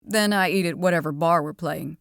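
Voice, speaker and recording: A woman's voice: lowish voice, fairly quick, creaky, no echo, clean studio sound. A woman voicing a laid-back teenage boy, Knox. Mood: easygoing.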